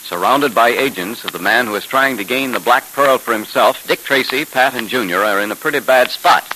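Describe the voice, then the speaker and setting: An American man announcing and pitching a sponsor in 1930s radio style, enthusiastic and emphatic like a salesman. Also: brisk, bright, forceful tone, low-quality recording. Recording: hissy 1930s radio recording.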